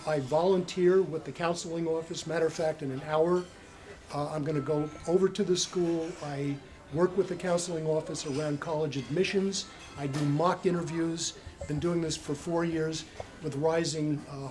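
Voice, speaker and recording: A man's voice: on the high side, normal speed, faint music behind, poor audio. Older man giving a candidate statement. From United States. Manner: earnest, steady, with frequent "uh" fillers. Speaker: school board candidate. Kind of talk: short candidate statement at a public breakfast.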